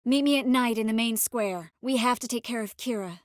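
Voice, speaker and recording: An actress playing a young sorceress in a video game, warm and clever, with a wry, quietly determined manner. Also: female voice, on the high side, a little fast, no echo, clean studio sound, natural voice. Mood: earnest, confiding. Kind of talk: video game character dialogue.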